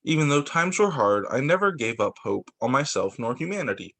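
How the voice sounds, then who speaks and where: A man's voice: mid-range voice, big pitch swings, brisk, fairly bright tone. A man reading a children's book aloud as a teacher, occasionally stumbling, repeating phrases and adding casual asides. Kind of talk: children's story time read-aloud.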